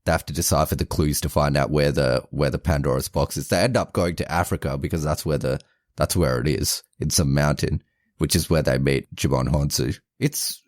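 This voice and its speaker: Male podcast co-host, very low voice, dry close sound, casual and rambling film chat. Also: brisk, dry recording. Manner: informal, rambling, frequent "like". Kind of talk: casual movie review chat.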